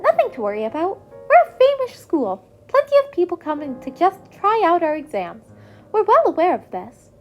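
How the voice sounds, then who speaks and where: Woman putting on a very high, chirpy school principal creature. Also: huge pitch swings, moderate pace, highs cut off, faint music behind, full character voice. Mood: upbeat, explaining. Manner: bright, polite, eloquent. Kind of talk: acted storybook dialogue.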